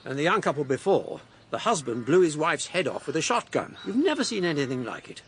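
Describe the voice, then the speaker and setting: An actor playing a genteel but tactless, gossipy village doctor in a radio drama, polite and slyly unsettling. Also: male voice, fairly high pitch, very animated, a little fast, a little hiss or hum. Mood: ominous, sly.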